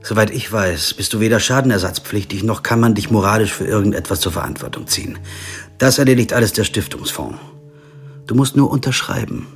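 A male actor as a wry lawyer telling his own crime story in a radio play. The voice is very low and understated, matter-of-fact, with soft music at times behind it. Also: fairly quick, natural voice. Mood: pragmatic. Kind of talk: scripted first-person narration and radio drama dialogue.

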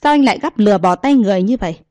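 A woman's voice: medium pitch, very animated, a little mellow, dry recording. Woman voicing an indignant young woman. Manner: angry, quick.

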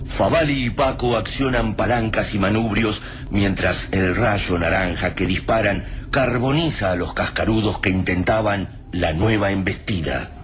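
A man's voice: fairly low pitch, measured pace, hardly any pauses. A man narrating a radio play in a grave, portentous, dramatic scripted style. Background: music.

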